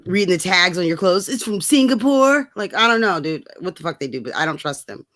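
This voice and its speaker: A woman's voice: lowish voice, sing-song, a little fast, fairly bright tone, no echo. A woman co-hosting a rant show in casual banter, blunt and mocking, swearing freely. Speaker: co-host of a political rant show.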